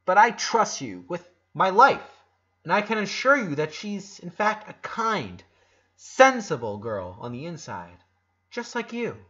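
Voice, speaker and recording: A man acts out a kind, eager young boy of eleven in a storybook reading. The voice is husky, with an earnest, reassuring and slightly hesitant manner. The recording sounds a bit dull. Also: high voice, very animated, a little slow, pushed and bright, voice pushed up, exaggerated voice.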